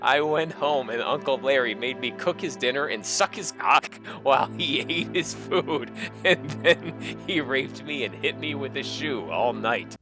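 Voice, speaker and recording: A man's voice: mid-range voice, unhurried. A man narrating a comic dramatic reading of fan fiction in a mock-serious, theatrical way, with music behind him.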